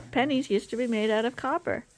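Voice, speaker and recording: A woman's voice: a little high, measured pace. Woman farmer explaining barn chores. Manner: explanatory, laughs easily. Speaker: sheep farmer and vlogger. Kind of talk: casual chat. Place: farm barn. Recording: faint barn background noise.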